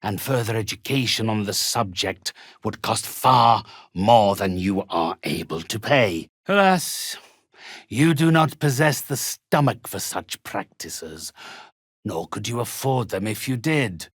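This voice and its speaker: An actor voicing an ancient undead necromancer in a video game, raspy, pompous and formal, haughty and theatrically menacing. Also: male voice, fairly low pitch, animated, a little slow, no echo, clean studio sound. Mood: condescending. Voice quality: raspy, hoarse.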